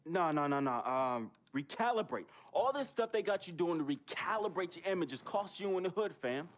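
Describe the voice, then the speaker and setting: Streetwise American buddy, higher voice. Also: male voice, lively intonation, brisk. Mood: insistent, needling. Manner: slangy, insistent. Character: streetwise, needling best friend of star athlete. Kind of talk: video game cutscene acting.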